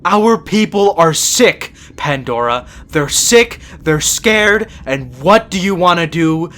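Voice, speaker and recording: A man's voice: a little high, sharp tone, slight background noise. A man playing a principled, exasperated younger-brother vampire prince in an audio roleplay, speaking in an earnest, reproachful way. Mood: impassioned pleading.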